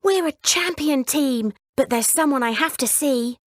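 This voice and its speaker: Woman acting an earnest young girl, eager, lifted pitch, storybook character. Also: unhurried, no room sound, clean studio sound. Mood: proud, tender.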